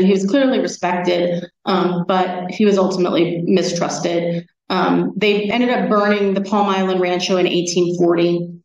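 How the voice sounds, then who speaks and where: Echoey, distant woman lecturing. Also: medium pitch. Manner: conversational, colloquial storytelling. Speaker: researcher giving a lecture. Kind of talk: informal history lecture with slides. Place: meeting room at a society talk. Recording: dull, echoey room, distant microphone.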